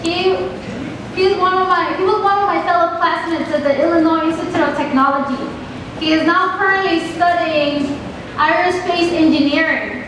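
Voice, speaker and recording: A woman's voice: very high voice, noisy background. A woman from Mexico with a Mexican Spanish accent, giving an earnest, direct talk to a class and asking the students questions. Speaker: guest speaker to a class. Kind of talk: classroom presentation. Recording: echoey classroom from far off.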